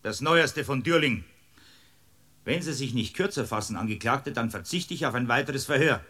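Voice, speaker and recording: An actor playing an irascible, impatient village-court judge with a Bavarian accent in a radio drama, blustering and sarcastic. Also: male voice, mid-range voice, lively intonation, moderate pace, bright, forceful tone. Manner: blustering, sarcastic, barking orders. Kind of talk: German radio drama acting.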